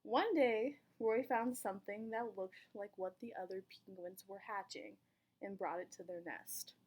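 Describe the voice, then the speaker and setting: A woman reading a children's picture book aloud in an expressive storytelling manner, with dramatic pauses. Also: medium pitch, low-quality recording. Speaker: volunteer story reader.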